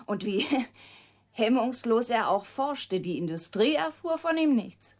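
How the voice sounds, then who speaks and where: An actress playing an embittered, secretive woman scientist in a German radio drama, deliberate and intense in delivery. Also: fairly high pitch, sing-song, heavily acted. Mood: guarded, resolute. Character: embittered woman scientist, secretive product of her father's experiment.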